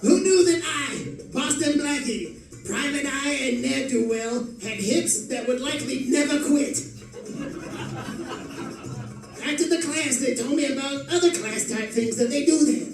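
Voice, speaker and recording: A bewildered but boastful gumshoe, acted out by a man, narrates in melodramatic old-time radio style. The voice sits very high for a man. It rings through an echoey space, picked up from well away with faint music behind it. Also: normal speed. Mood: boastful, amazed. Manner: melodramatic, wisecracking diary narration. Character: bewildered, boastful 1940s gumshoe private eye. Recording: echoey room, distant microphone.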